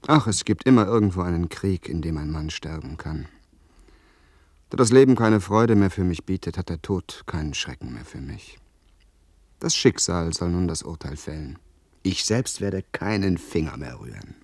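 A resigned, earnest gentleman in a radio play. His voice is fairly low, and he speaks in measured, formal, reflective phrases. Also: expressive. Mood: fatalistic. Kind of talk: German radio play acting.

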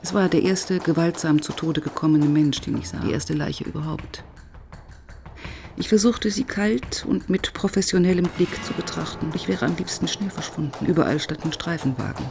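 An actress playing a reflective, probing police inspector in a radio crime drama, controlled and thoughtful. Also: female voice, sits low, normal speed, gravelly, noisy background. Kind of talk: radio crime drama, first-person narration and dialogue.

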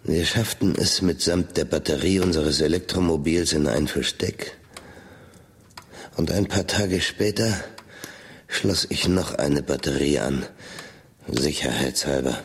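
Very deep-voiced, dry researcher. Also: male voice, unhurried, breathy edge, heavily acted. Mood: reflective, matter-of-fact. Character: middle-aged male researcher, dry, secretive, sardonic. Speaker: narrator and lead character of a radio play. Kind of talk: German radio drama acting, first-person narration.

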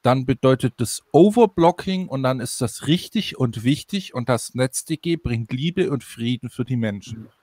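A man's voice: medium pitch, lively intonation, normal speed, a little mellow, no echo. A man co-hosting a casual podcast discussion. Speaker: podcast co-host.

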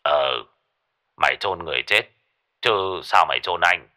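A man voicing a coarse, joking middle-aged man with a gruff manner in a spoken story. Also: extremely low pitch, expressive, normal speed, bright, forceful tone, pitched below the performer's own voice, heavily acted.

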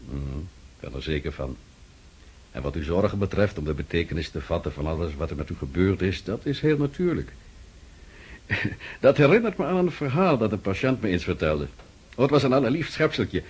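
A calm husky doctor. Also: male voice, sits low, normal speed, full character voice. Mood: reassuring. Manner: reassuring, gently chatty. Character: calm male doctor, soothing and anecdotal.

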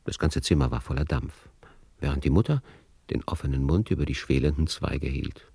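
A man's voice: a bass voice, brisk, creaky, warm tone, husky, no room sound. A man narrating a radio play in a scripted, literary storytelling style.

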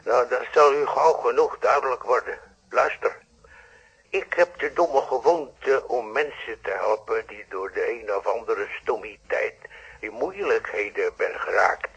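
An actor with a Netherlands Dutch accent playing a coolly insistent, blackmailing moneylender in a radio play, measured and falsely polite. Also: male voice, mid-range voice, gravelly, fairly bright tone, slightly rough, exaggerated voice. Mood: coolly insinuating. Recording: dull, band-limited old radio play.

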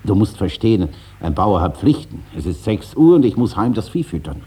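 An actor playing a cunning, eager farmer with a plain-spoken, evasive manner in a 1950s German radio play. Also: male voice, lowish voice, sing-song, fairly quick, dark tone, breathy edge, full character voice. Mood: hasty, apologetic. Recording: faintly hissy 1950s radio recording.

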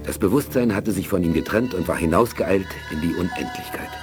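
A deep-voiced actor as a male storyteller narrates a 1980s science fiction radio play. His delivery is solemn, with music clearly playing beneath him. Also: fairly quick, close to an everyday voice. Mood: solemn, suspenseful. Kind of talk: 1980s German audio drama narration. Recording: music under the voice.

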